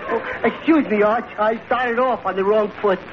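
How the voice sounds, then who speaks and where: A muffled vintage radio show with a loud audience has a man acting a dopey, good-natured barroom regular. His voice is high for a man. He delivers everything with literal-minded innocence. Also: steady tone, normal speed, over a noisy crowd, lightly acted. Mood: slow-witted, innocent. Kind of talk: 1940s radio comedy acting. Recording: noisy, muffled old radio broadcast, audience.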